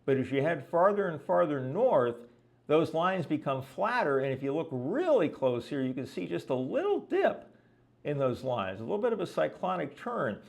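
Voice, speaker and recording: A man's voice: on the high side, big pitch swings, normal speed, vocal fry. A man giving an explanatory lesson as a weather presenter, talking conversationally and often checking in with the listener. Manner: didactic, conversational, frequently checking with "okay?". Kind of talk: explanatory lecture with visual examples.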